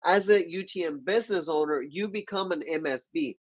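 Young male livestream host, nasal from a cold, upbeat and chatty, slightly higher voice. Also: fairly quick. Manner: energetic, gestures with both hands, keeps asking viewers to comment. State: stuffy from a cold. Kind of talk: business livestream teaching. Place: small dark-walled home studio.

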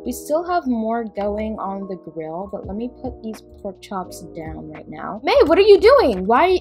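A woman's voice: mid-range voice, big pitch swings, a little slow, a little mellow, soft background music. A woman hosting a voiced game roleplay, chatty and often scolding as a mother. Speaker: roleplay host playing a mother.

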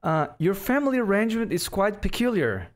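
A man in his forties hosting an interview podcast, formally reading a guest introduction into a boom-arm microphone. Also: fairly quick. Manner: formal, reads bio at a steady clip. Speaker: podcast host. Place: small home office. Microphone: studio microphone on boom arm.

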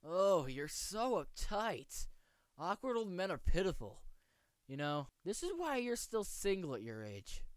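A man's voice: high-pitched, sing-song, a little slow, clear, resonant tone, no room sound. A man voicing a flippant young knight from a manga who teases playfully. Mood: teasing. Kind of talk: amateur voice acting showcase of manga lines.

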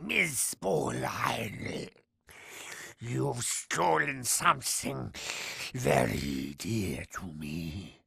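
A man playing a grandiose mad villain in a video game, raspy and theatrical, making slow threats. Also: lowish voice, wildly swooping pitch, sharp tone, no room sound, studio quality, heavily acted. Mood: menacing. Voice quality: gravelly, raspy.

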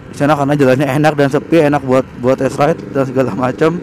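A young man chatting excitedly in a casual riding vlog, recorded on a helmet camera while on a motorbike in the city. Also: medium pitch, fast. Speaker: motovlogger. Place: on a motorbike on city streets, outdoors. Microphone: helmet-mounted action camera. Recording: loud wind and traffic noise.

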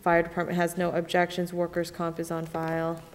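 Woman, lowish voice, clerk, formal reading of legal notices, reverberant chamber. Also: monotone, fairly quick, slight background noise. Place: large council chamber.

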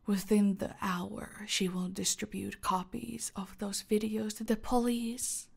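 A menacing female cartel boss, played by a woman in an audio drama, smug and calculating. She speaks very slowly, with heavy vocal fry. Her haughty, seductive delivery often sinks into a breathy near-whisper. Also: medium pitch, a little mellow. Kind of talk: dramatic audio roleplay monologue addressed to a captive.

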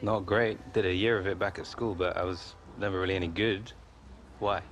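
A young man playing a warm, proud footballer and big brother in a naturalistic video game cutscene. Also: sits low, fairly even intonation, normal speed. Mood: surprised. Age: young adult.

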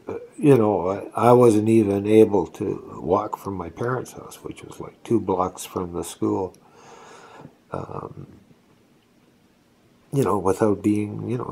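Man, 60s, Estonian first language, home vlog on phone: deep, slow, reflective life story with restarts. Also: vocal fry, a little mellow, husky. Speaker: vlogger. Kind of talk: personal storytelling. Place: indoors, seated on a couch at home. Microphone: phone camera held close.

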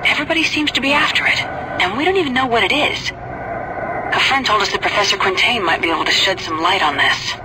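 An actress voicing a tough female squadron leader who gives crisp military orders in a space combat game, with game music behind. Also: mid-range voice, brisk, noisy background, natural voice. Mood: explaining. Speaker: fighter pilot leading the escort. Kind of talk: video game voice acting.

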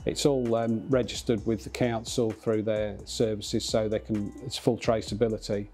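Mellow man, flat delivery. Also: lowish voice, normal speed, soft background music. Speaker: brewery owner or worker. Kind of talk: documentary interview. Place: brick-walled room indoors.

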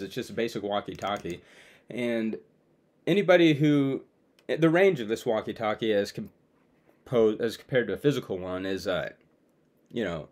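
A young adult man giving a casual, conversational demo to viewers as a video creator. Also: on the low side. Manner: informal, conversational. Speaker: video creator presenting his own web app. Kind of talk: casual screen-share demo, talking to viewers. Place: small room at home, indoors.

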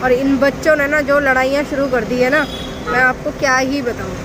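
A woman's voice: sits high, steady tone, moderate pace. A woman chatting casually to the camera in a family vlog, teasing and talking to viewers as she walks through a city and a mall. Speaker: family vlogger. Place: outdoors in a busy city and a shopping mall. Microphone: phone camera. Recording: loud crowd and street noise. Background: crowd and traffic noise.

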